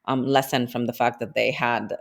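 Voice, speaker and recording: Thoughtful woman with a gravelly voice. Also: rumbling low, fairly even intonation, moderate pace, crowd noise. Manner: thoughtful, explains at length. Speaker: guest analyst. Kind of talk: podcast interview.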